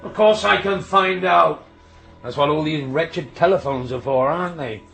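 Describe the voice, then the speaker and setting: A man's voice: fairly high pitch, moderate pace, creaky, a bit bright, echoey room, faint music behind, low-quality recording. An actor playing a middle-aged signalman and father, stern, brusque and harried, in a naturalistic radio drama. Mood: exasperated, stern. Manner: brusque, exasperated.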